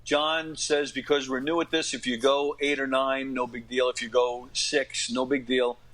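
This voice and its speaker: Bright-voiced middle-aged New man telling stories. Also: medium pitch, moderate pace. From New York area, USA. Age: late 40s to 50s. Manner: animated retelling with acted-out quotes and frequent throat-clearing. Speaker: podcast guest, actor and stand-up comic. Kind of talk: casual anecdotal storytelling.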